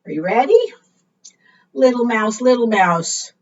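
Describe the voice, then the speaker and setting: A woman's voice: a little high, animated, measured pace, darkish tone. A woman reading aloud to young children as a storytime leader, in a sing-song way, often putting questions to her listeners. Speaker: librarian leading preschool storytime. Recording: muffled, distant microphone.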